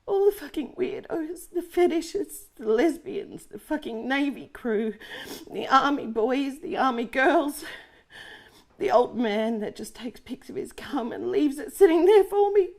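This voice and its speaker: A woman's voice: high voice, lively intonation, a little slow. An actress in her forties playing a profane, panicky woman who scolds herself and talks herself through her fear, short of breath. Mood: bitter. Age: 40s. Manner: swears heavily, talks to herself. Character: frazzled forty-year-old woman, profane, self-scolding. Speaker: adult content creator. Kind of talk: dramatic monologue.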